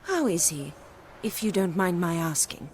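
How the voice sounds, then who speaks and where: A woman playing a wealthy aging countess in a video game, wistful and genteel, with formal, measured speech. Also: lowish voice, expressive. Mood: wistful, confessional. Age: older.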